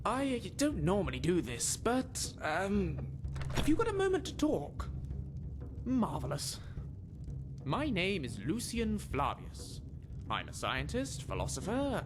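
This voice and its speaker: Eager bookish young scholar, very animated. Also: male voice, sits high, exaggerated voice. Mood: sheepish, courteous. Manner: polite, wordy, self-deprecating, well-spoken. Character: eager, bookish young male scholar adventurer from a fantasy empire. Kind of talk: video game character dialogue. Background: music.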